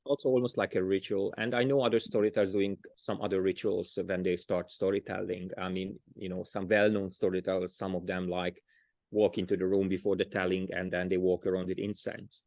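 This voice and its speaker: A man's voice: sits low, little pitch movement, brisk, a little mellow, no room sound. A man with a Hungarian accent, a storyteller being interviewed, talking casually and often restarting as he searches for words. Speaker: storyteller, guest.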